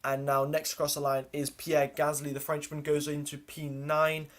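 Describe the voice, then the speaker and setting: A man's voice: fairly high pitch, completely flat delivery, moderate pace. A man hosting a livestream, giving running race commentary and reading out names and positions in clipped lists. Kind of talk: live race watchalong commentary.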